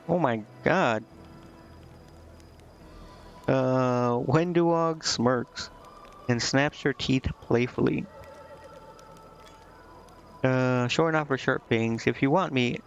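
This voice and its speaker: A man streams a game in a casual, rambling way, with a mid-pitched voice. He talks half to himself, hesitating as he goes. The microphone sounds a little dull and muffled. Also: takes it slowly, pauses often, faint music behind. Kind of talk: casual gameplay commentary, reading game dialogue aloud.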